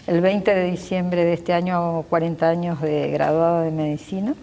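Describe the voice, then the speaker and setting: A woman in her sixties speaks with an Argentine accent, her voice low and warm. She answers a television interviewer earnestly and fluently, gesturing as she talks. The sound carries a lingering reverb from a glass-walled room. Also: little pitch movement, normal speed, vocal fry. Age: 60s. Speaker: physician and local politician, interview guest. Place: glass-walled pavilion with garden behind.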